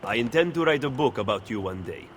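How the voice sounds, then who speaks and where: An actor playing a measured, formal Italian conspirator and advisor with an Italian accent in a video game. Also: male voice, medium pitch, expressive, natural voice. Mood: measured, firm. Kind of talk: dramatic video game acting. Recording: echoey hall, faint ambient noise.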